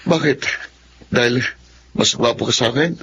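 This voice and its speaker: A man's voice: mid-range voice, normal speed, natural voice. A man playing a lonely, sulky rich student in a Tagalog radio drama, earnest and plaintive, with a Filipino accent. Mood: teasing. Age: young adult. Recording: muffled, clearly noisy radio drama.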